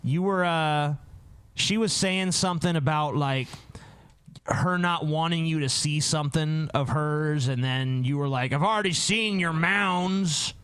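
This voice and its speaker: Clean smooth male voice, slightly high, dry sound, crude casual podcast banter. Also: unhurried, a bit bright, no room sound. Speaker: podcast co-host. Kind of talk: casual chat, interjecting.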